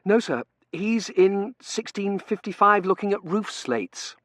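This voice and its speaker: Man acting a nervous, high-voiced young male student. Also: lively intonation, unhurried, fairly bright tone, no echo, clean studio sound. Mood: nervous, deferential. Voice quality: stammering. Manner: hesitant, deferential. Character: nervous young male undergraduate, deferential and stammering.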